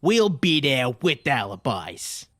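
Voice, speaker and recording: A man's voice: medium pitch, sing-song, slow, noisy background. A man voicing a sneaky, smirking crook's henchman in a cartoon comic dub, sly and mock-folksy. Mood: eager, sly.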